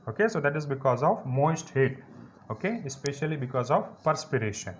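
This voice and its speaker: A man with an Indian English accent giving a physiotherapy lecture, explaining step by step and checking "clear?" again and again. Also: mid-range voice, very animated, fairly quick, a little hiss or hum. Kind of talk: recorded classroom lecture.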